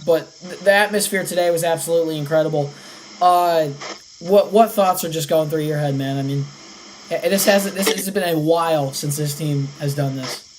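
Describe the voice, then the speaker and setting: A young man around eighteen hosting a casual sports podcast, his voice slightly raspy, rattling off game stats. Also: on the high side, normal speed, noisy background. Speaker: podcast host.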